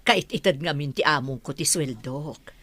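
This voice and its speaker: A woman's voice: deep voice, normal speed, fairly bright tone, no echo. A woman playing a weary, middle-aged working mother in an Ilocano comedy radio drama, patient and plaintive, with an Ilocano Filipino accent. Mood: weary, resigned. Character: weary working mother, housemaid with idle grown children.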